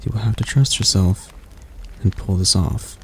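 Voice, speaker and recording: A man voicing a male demon tutor who calmly coaches a young royal in an ASMR roleplay, speaking slowly and softly in a coaxing way. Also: deep voice, breathy edge. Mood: guiding. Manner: slow, soft-spoken, coaxing.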